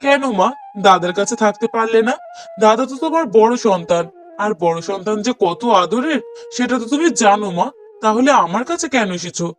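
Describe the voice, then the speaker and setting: A man's voice: high-pitched, animated, a little fast, faint music behind. A man voicing a dismissive younger son and henpecked husband in a cartoon story, reproachful and full of excuses. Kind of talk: cartoon moral story voice acting.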